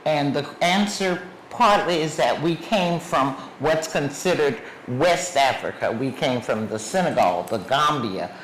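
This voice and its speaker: A woman's voice: sits low, lively intonation, unhurried, hardly any pauses. A woman giving a lecture as guest speaker in a museum, stressing points and listing places one by one. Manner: emphatic, lists places one by one. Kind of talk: public lecture with questions. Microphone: clip mic under a shawl. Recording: echoey room, faint background noise.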